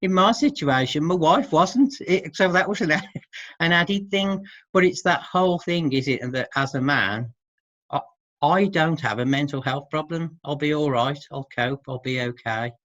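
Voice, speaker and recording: Middle-aged man, halting interview answers, muffled lo-fi recording, dry room. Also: a little high, a bit bright, no echo. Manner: hesitant, halting, repeats words and seeks agreement with tag questions. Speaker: expert guest who works with men. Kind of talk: informal interview discussion. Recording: dull, muffled lo-fi audio.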